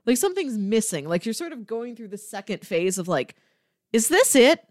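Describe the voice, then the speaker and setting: A young adult woman co-hosting a podcast, discussing film casually and reflectively in an expressive way. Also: a little high, moderate pace, no room sound, clean studio sound. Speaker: podcast co-host.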